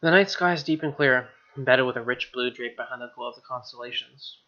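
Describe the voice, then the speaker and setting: A man's voice: medium pitch, a little fast, bright, forceful tone, dull audio. A man hosting a let's play, reading game text expressively with wry, casual asides.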